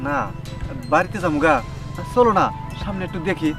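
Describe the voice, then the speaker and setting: A man plays a trusting cartoon village husband with a high voice, talking quickly and coaxingly. He sounds distant from the mic, under loud music. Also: expressive, creaky. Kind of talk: Bengali cartoon dubbing.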